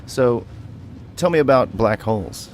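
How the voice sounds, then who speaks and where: A man's voice: medium pitch, lively intonation, a little slow, a little mellow, slight background noise. A man giving casual, playful gameplay commentary as a video host, bantering as he goes.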